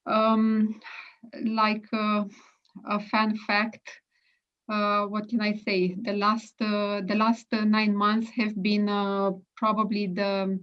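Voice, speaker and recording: A woman from Romania with a Romanian accent, introducing herself on a video call, halting with many fillers. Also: mid-range voice, monotone. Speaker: brokerage and marketing staff. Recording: dull lo-fi call audio.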